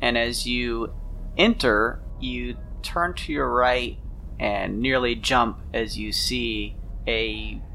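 A man's voice: mid-range voice, slow, creaky, pushed and bright, slight background noise. A man narrating a tabletop role-playing game as game master, improvising scenes in the second person.

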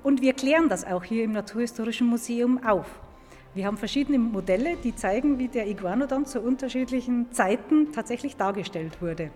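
A woman's voice: on the high side, animated, brisk, dark tone, faint music behind. A woman paleontologist explaining science to children in an informal, emphatic way, recorded in a large echoing museum hall. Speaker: museum paleontologist, guest expert.

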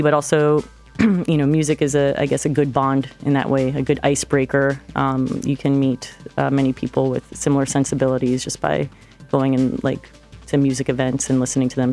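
A woman's voice: very deep voice, a little mellow. A woman from Florida, a DJ guest in a casual radio studio interview, talking hesitantly with many fillers and restarts. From Florida, United States.

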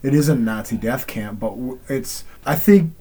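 A man co-hosting a podcast in casual discussion, talking haltingly and often cutting in with his own points. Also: mid-range voice, mellow, husky. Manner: halting, restarts and interjections. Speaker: podcast co-host.

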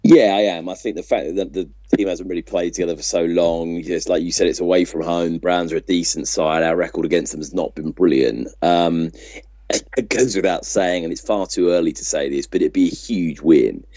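Casting: man, deep voice, conversational sports podcast co-host, dry and slightly lo-fi sound. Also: steady tone, moderate pace, warm tone, dry recording. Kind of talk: casual sports chat, giving opinions.

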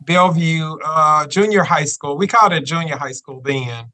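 A man, a guest on a podcast who talks in a gracious, measured way with a touch of self-deprecating humor. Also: fairly high pitch, bright, forceful tone. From Memphis, Tennessee. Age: 50s. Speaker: guest, university chancellor. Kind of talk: podcast interview.

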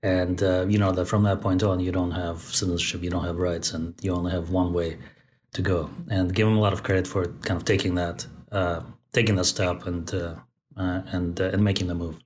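A man in his forties, born in Moscow and raised in Central Asia, speaks thoughtfully as a podcast guest, pausing often to find his words. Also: deep voice, little pitch movement, a little mellow, a little hiss or hum. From born in Moscow, raised in Tajikistan. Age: 40s. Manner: thoughtful, many fillers and restarts. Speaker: guest, company founder. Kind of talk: podcast interview. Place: Bay Area.

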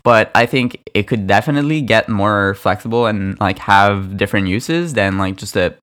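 Smooth low-voiced young man, chatty. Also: moderate pace, fairly bright tone. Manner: chatty, lots of likes and ums, laughs. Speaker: podcast co-host, product designer. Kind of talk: casual chat.

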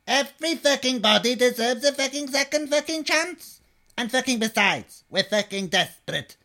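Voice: sits high, expressive, normal speed, pushed and bright, heavily acted. An actor playing a harried restaurant boss in an adult comedy sketch, breaking up every phrase with emphatic swearing. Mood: matter-of-fact.

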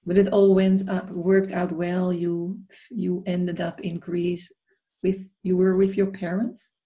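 Mellow-voiced podcast host woman. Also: lowish voice, little pitch movement, takes it slowly. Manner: warmly admiring, conversational with restarts. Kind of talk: interview podcast hosting.

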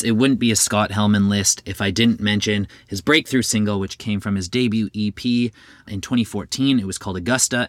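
A man hosting his own podcast, a little hoarse from a cold, reading a friendly, chatty intro with the odd filler word. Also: fairly low pitch, a bit bright, no room sound. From Canada. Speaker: podcast host. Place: home kitchen.

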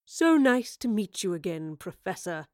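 Storybook villain, male, played by a woman: smug, unhurried, mock-tender over cold menace, dry close sound. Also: on the low side, a bit bright, smooth, dry recording. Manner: slow, mockingly sincere, unhurried.

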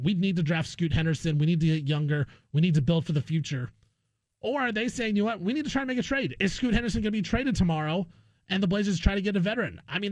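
A man with a noticeably high voice co-hosts a sports talk show. He argues emphatically, hitting the same phrase again to make his point. The sound is dry and close, like a radio studio. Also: no echo. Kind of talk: opinionated sports talk debate.

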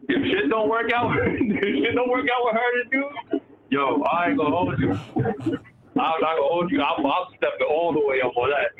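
Excited caller with swinging pitch. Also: male voice, very noisy. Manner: excited, slangy, swearing. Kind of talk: excited call-in chatter. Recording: dull phone line with loud crowd behind.

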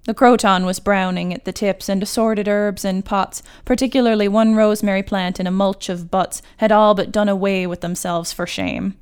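A woman writer reading her own literary short story in a measured, articulate and wry manner. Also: medium pitch, fairly even intonation, clean tone.

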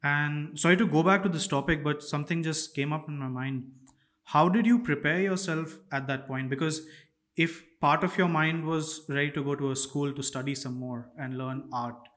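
A man's voice: fairly high pitch, moderate pace, fairly bright tone. Man hosting, winding questions. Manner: friendly, asks long winding questions. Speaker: podcast host. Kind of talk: casual interview talk.

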